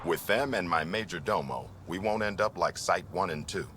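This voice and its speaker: An actor playing a gruff, plain-spoken middle-aged frontier mayor in a video game, speaking in clipped, resolute, folksy phrases. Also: male voice, normal speed, fairly bright tone, slight background noise. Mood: brisk, businesslike. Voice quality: very deep voice. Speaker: colony mayor character. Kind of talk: video game dialogue acting.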